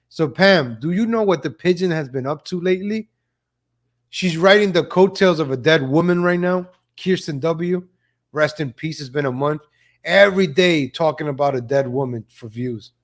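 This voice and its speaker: A man's voice: on the high side, normal speed. A man hosting a live stream of political gossip commentary, mocking and sarcastic, repeating phrases for emphasis.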